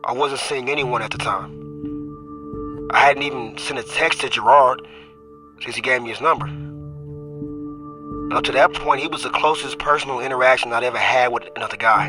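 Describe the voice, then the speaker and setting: A man's voice: medium pitch, moderate pace, long pauses, music in the background, lightly acted. An actor playing a young male football running back who narrates his own story, wry and stumbling when defensive. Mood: reflective. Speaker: narrator and lead. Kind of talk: audio drama with inner narration.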